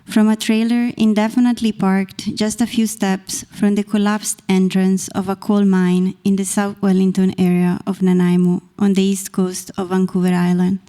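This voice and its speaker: Woman, Italian accent, thirties, deliberate poetry reading, gravelly, mellow, stage stand mic. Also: mid-range voice. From Italy. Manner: measured, deliberate reading from a page. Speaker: poet reading her work. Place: small stage in a dark performance venue. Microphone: stand mic close to the mouth.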